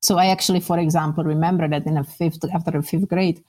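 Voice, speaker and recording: A woman's voice: lowish voice, steady tone, fast, darkish tone. A middle-aged woman from the Czech Republic with a Czech accent, sharing personal recollections conversationally as an interview guest.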